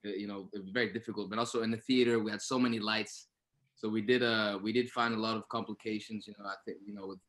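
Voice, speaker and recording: A man with a slightly low voice speaks casually as an actor on a panel. He is halting, often doubling back on words while he describes his work. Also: fairly even intonation, a bit bright.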